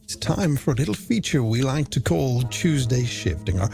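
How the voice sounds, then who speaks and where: A man's voice: mid-range voice, very animated, normal speed, gravelly, dark tone. An Irish man presenting a late-night music radio show in a polished, theatrical way with flowery phrasing and rhetorical questions, over a music bed.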